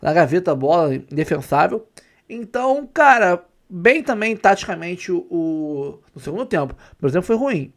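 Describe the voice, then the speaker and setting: This Brazilian man runs a football fan channel. He uses a high, lively voice and talks to his viewers with keen, emphatic enthusiasm. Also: moderate pace. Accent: Brazilian Portuguese. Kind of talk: excited football commentary to viewers.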